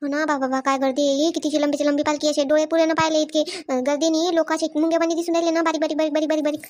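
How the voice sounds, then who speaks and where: A woman voicing an excitable, blunt village pilgrim woman in a cartoon comedy, exclaiming and repeating words in a Varhadi Marathi accent. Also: high-pitched, rapid-fire, lightly acted. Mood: amazed.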